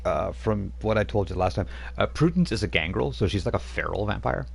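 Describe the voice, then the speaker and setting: Deep-voiced man running a tabletop game. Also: gravelly, slight background noise. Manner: relaxed, halting, lots of ums, slows down for dramatic narration. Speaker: game master.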